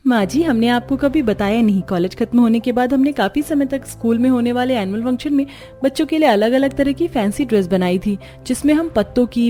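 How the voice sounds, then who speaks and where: A woman's voice: a little high, dark tone, pitched above the performer's own voice, lightly acted. A woman voicing a kind, reassuring young daughter-in-law in a family cartoon.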